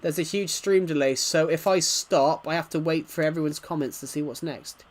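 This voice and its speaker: Higher-pitched man chatting on a gaming stream. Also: fairly even intonation, normal speed. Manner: rambling, stuttering, reads out viewers' comments. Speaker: livestream host. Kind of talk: casual gaming livestream chat.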